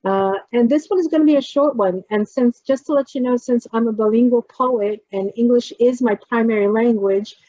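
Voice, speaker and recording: A woman's voice: fairly high pitch, moderate pace, few pauses, warm tone, dry recording. A woman with a New Jersey accent, a poet chatting casually as she leads a workshop over a video call and trails off while she works through screen sharing. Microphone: computer mic on a video call. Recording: dull, lo-fi video call audio.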